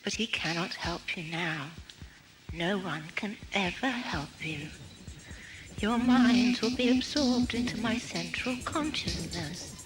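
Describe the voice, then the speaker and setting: Woman acting menacing spaceship computer, female, courteous, emotionless, measured, medium pitch, loud backing sound, cassette audio drama. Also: lively intonation, music in the background, poor audio. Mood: calmly menacing. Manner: polite, measured, formal, emotionless. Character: ship's central computer, politely menacing, calm, female.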